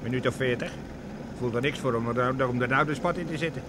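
An actor playing a cocky, slangy car thief at the wheel in an old radio play. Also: male voice, mid-range voice, fairly quick, noisy background, close to an everyday voice. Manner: colloquial, slangy. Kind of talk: vintage Dutch radio play acting.

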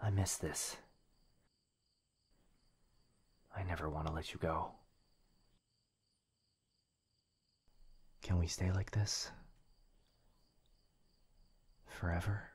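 A man acting as a devoted young boyfriend in an ASMR roleplay, tender, intimate and unhurried yet firm. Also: deep voice, fairly even intonation, husky.

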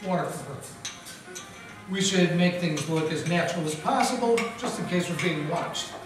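Man, hardboiled detective narrator, deadpan wisecracks, radio play, echoey hall, distant mic. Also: on the high side, normal speed. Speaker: narrator and lead actor. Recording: echoey hall from far off with music.

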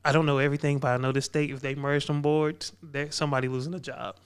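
A man's voice: fairly high pitch, level tone, fast, clear, resonant tone. A man in his thirties hosting a podcast in a barbershop space, talking casually and prompting his guests with questions. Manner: conversational, prompting, repeats "man". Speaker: podcast host. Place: barbershop event space, indoors. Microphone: podcast mic, headphones on.

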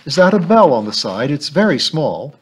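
A man voicing a composed, dry-witted adult woman, read in a raised, measured voice. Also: on the high side, very animated, husky, voice pushed up. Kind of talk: audiobook character voice.

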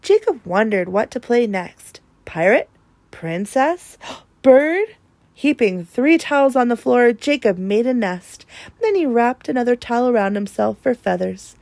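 A woman narrates a children's storybook expressively. Her voice is on the high side and very animated, recorded close and dry. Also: a little slow, creaky, no echo. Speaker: storybook reader.

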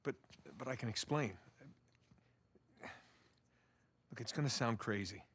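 Earnest American treasure hunter. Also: male voice, mid-range voice, a bit bright, slightly rough. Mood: sheepish, halting. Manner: halting, pleading when cornered. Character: earnest, stubborn male treasure hunter in his thirties. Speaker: actor in a video game. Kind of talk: naturalistic cinematic game dialogue. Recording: music under the dialogue.

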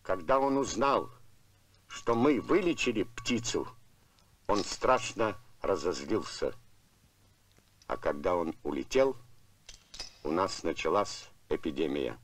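Measured cartoon robot, low voice, unhurried, faint noise. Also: male voice, expressive. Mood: matter-of-fact. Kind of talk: animated film voice acting.

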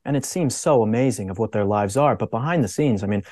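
A young American man offers opinions in a conversational way. He talks at a quick clip in a soft-edged, mellow voice, recorded dry with little room around it. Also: on the low side, no echo. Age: young adult. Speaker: guest commentator, author. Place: book-lined room indoors.

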